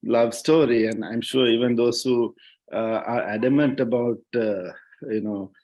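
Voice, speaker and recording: A man with a Sri Lankan accent talks in a panel discussion at a middling pitch. He is reflective and halting, often repeating a phrase while he looks for the next word. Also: fairly even intonation, a little mellow. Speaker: panelist, journalist and writer. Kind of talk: thoughtful discussion in an online panel. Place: online discussion call.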